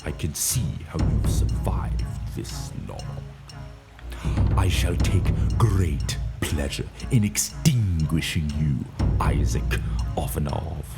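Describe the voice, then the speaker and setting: Radio drama villain: very low, slow, taunting and whispery, over loud music. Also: male voice, creaky, exaggerated voice. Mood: gloating menace. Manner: slow, drawn-out, taunting delivery with whispered menace. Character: menacing, gloating villain, old foe of a captive. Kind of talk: amateur radio drama acting.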